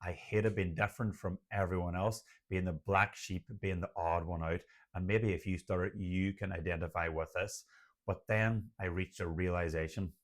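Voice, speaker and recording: A man's voice: sits low, fairly even intonation, normal speed. An Irish man, a doctor who stutters, speaking earnestly to camera about his experience in a personal vlog. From Ireland. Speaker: doctor and stuttering coach, channel host.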